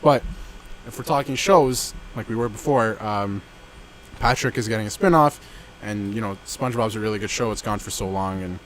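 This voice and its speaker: A man's voice: on the low side, moderate pace, slight background noise, low-quality recording. A man in his twenties co-hosting a casual podcast, rambling with many fillers and restarts, recorded outdoors under a backyard gazebo. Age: 20s. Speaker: podcast co-host. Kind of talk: casual chat.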